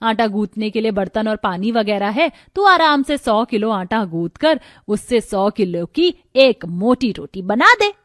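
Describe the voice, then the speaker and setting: A woman's voice: medium pitch, sing-song, measured pace, few pauses, no echo, clean studio sound. A woman playing a bossy, demanding middle-aged mother-in-law in a cartoon. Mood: commanding.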